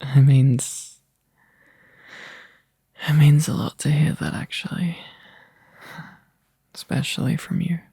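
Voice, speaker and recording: A man playing a tired, overworked young boyfriend in a soft, intimate ASMR roleplay, halting and breathy, often dropping into a whisper close to the mic. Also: on the high side, steady tone, no room sound, exaggerated voice. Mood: touched, loving. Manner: halting, drowsy, sighing delivery. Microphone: close microphone, dry.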